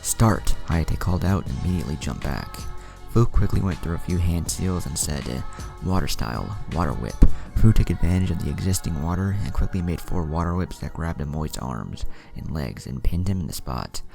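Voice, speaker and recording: A man's voice: sits low, lively intonation, brisk, gravelly, over music. A man narrating an anime fan story, reading out both the action and the dialogue.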